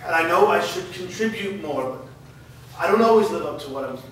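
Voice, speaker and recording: A man's voice: high voice, lively intonation, brisk. A man playing an adult live-in boyfriend with a drinking habit in a staged drama reading. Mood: apologetic. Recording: echoey room, distant mic, clear noise.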